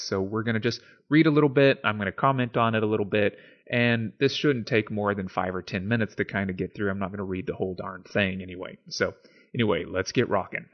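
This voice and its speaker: A man's voice: fairly low pitch, dull audio. A man giving an informal explanatory monologue as a host, conversational and musing, often posing rhetorical questions. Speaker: host of a philosophy series.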